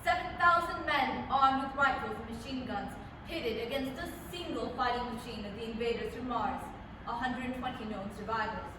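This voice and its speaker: A woman's voice: a little high, normal speed, natural voice. A woman playing a grave, formal radio news announcer in a staged drama reading. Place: library hall, staged reading, far microphone. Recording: very echoey noisy hall from far off.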